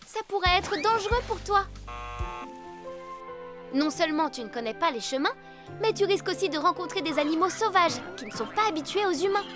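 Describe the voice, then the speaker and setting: Female rescue vehicle for kids' animation: bright-hearted carer, very high pitch, patient teacher, over music. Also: animated, fairly quick. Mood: gently warning. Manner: clear explaining, patient safety advice. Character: caring female rescue vehicle, gently instructive. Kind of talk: children's animated series dub. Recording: music behind the voice.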